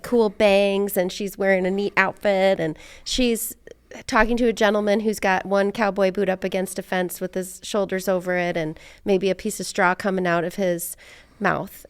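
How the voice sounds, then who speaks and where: A woman's voice: mid-range voice, smooth, no echo. A woman hosting a podcast, talking casually and candidly in a rambling way sprinkled with "like". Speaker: podcast host. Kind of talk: casual personal chat.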